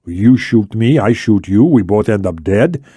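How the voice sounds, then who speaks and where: A man voicing a hard-edged, defiant male operative in a thriller audiobook, with a raspy edge. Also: fairly low pitch, lively intonation, a little slow, dark tone, no room sound. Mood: tense challenge.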